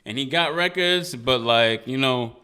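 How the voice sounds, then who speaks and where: A man's voice: mid-range voice, a little slow, sharp tone, clear, resonant tone. A man from Nigeria, a guest musician in a casual interview, talking in a laid-back, reflective, slangy way. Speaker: guest artist.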